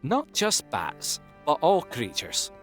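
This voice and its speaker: Male actor as young cartoon pegasus, wildly varied pitch, cheerful, cheeky, animated, audio drama over background music. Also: slow pace, exaggerated voice. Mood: upbeat. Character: excitable young adult male pegasus pony, cheerful nature-lover. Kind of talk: acted dialogue in a fan-made audio drama.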